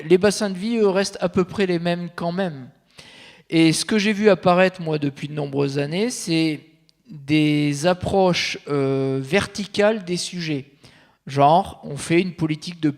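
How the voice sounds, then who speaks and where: A middle-aged man answering a question on a conference panel, explaining in a measured way with frequent hesitations. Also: on the high side. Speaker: panel speaker.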